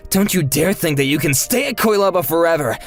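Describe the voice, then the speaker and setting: A man acts out a snobbish young office rival in an anime-style dub. His voice sits high and swings wildly as he sneers and argues in disbelief. Music plays behind him. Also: lively intonation, a little fast, lightly acted. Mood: indignant, jealous. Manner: sneering, incredulous, argumentative. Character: indignant, snobbish young male office worker and jealous rival.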